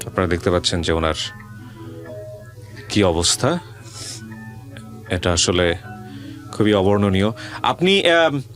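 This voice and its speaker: A man with a Bangladeshi accent hosting a conversational interview, speaking out loudly and deliberately and addressing the audience. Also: sits low, expressive, faint music behind. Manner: speaks loudly and deliberately for a hard-of-hearing guest. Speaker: radio show host interviewing a guest.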